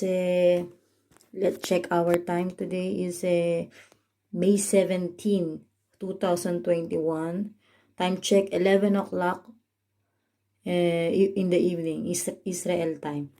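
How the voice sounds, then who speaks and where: A woman from the Philippines, a Tagalog speaker with a Filipino accent, giving a casual vlog update as a caregiver. She explains slowly in simple English, pausing often with fillers and restarts. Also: lowish voice, warm tone. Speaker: caregiver and vlogger. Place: home in northern Israel.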